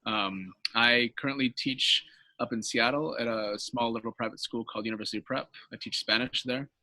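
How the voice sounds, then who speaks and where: Friendly, bright-voiced man laughing easily. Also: medium pitch, steady tone, dry recording, low-quality recording. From born in Colombia, raised in Washington. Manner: friendly, laughing, halting with restarts. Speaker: guest, Spanish teacher. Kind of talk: casual interview talk. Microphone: video call.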